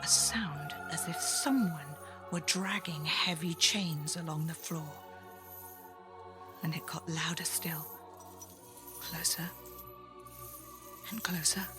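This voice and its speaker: Female storyteller for children's tales: low-pitched, slow, dramatic, soft music underneath. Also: steady tone. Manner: theatrical, deliberate, suspenseful phrasing.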